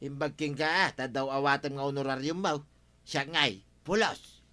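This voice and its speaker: Grumbly blunt village firewood seller male actor, bright forceful voice, medium pitch, radio comedy drama. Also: fairly even intonation, moderate pace, lightly acted. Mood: blunt, bantering.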